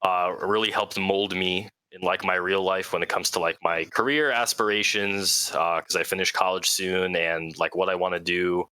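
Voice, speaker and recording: A man's voice: low voice, fairly even intonation, moderate pace, few pauses, pushed and bright, clear, resonant tone, no echo, poor audio. A man in his twenties, a guest talking casually and reflectively, with lots of filler words. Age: 20s. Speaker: guest fleet commander. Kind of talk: casual interview talk.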